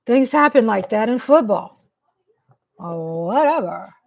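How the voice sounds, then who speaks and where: Slow-talking playful Southern woman. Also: on the high side, lively intonation, creaky, lo-fi sound. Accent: Texas. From the American South. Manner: playful, teasing, chatty. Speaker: live stream host. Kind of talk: casual live chat.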